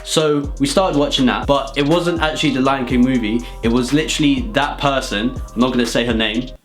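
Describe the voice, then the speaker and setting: A young British man telling absurd comic stories to viewers in an animated, mock-serious, slangy way. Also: mid-range voice, brisk, soft background music. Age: young adult. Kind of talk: rambling comedic storytelling to viewers.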